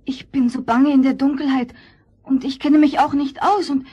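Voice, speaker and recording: An actress playing a gentle, meek orphan girl in a fairy-tale audio play, sweet and earnest. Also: high-pitched, fairly even intonation, moderate pace, dull audio, natural voice. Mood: timid, polite. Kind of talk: German fairy-tale audio drama acting.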